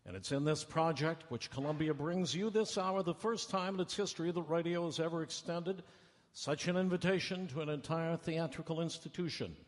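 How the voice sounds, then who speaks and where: A man's voice: on the high side, fairly quick, close to an everyday voice. A man playing a grand 1930s radio announcer with dramatic, declamatory emphasis. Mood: grand, proclaiming. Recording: echoey town hall stage. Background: faint live music.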